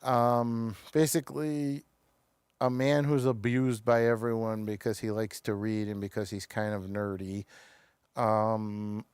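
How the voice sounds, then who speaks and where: A man hosting a casual review discussion, talking in a halting way that often trails off and picks up again. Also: fairly low pitch, flat delivery, darkish tone, smooth, dry recording. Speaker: show host reviewing television episodes.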